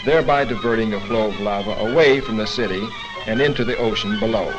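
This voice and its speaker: Low-voiced grave cartoon scientist, acted by a man. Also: fast talker, muffled recording, over music, lightly acted. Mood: serious, official. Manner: formal, explanatory. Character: grave, authoritative male scientist giving a briefing. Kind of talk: 1940s cartoon acting.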